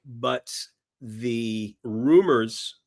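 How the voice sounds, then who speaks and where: Male podcast guest, mid-pitched voice, emphatic raconteur casually recounting movie history and acting out quotes. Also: very slow, darkish tone. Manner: emphatic storyteller, often voicing quotes. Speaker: podcast guest, film industry veteran. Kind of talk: casual anecdotal film-history conversation.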